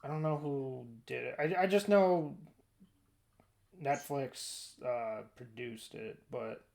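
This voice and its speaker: Man, creaky gravelly voice, mid pitch, casual podcast host, thinking out loud. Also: slow pace. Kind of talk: casual discussion of films and shows.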